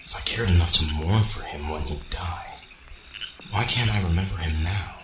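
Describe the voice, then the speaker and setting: An actor playing a brooding, logical robot in an amateur radio drama, speaking in a measured, formal, introspective way. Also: male voice, low-pitched, close to an everyday voice. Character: brooding, logical young male robot, puzzled by feelings. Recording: echoey, faintly noisy home recording.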